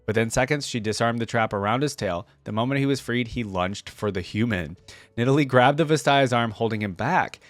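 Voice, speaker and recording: A man's voice: mid-range voice, brisk, no echo. A man hosting a reaction video, reading stories aloud and commenting on them casually with informal asides.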